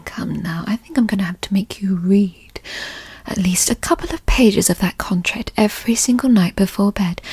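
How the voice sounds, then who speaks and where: A woman playing a possessive, doting, teasing girlfriend in a whispered ASMR roleplay, coaxing and cooing in a breathy voice. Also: mid-range voice, dry recording. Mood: smug, doting. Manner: coaxing, cooing, slow and indulgent. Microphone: close studio mic.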